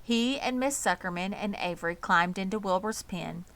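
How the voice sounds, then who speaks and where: A woman's voice: medium pitch, moderate pace, a bit bright. A woman narrating a children's novel aloud as an audiobook reader. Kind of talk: scripted children's story narration.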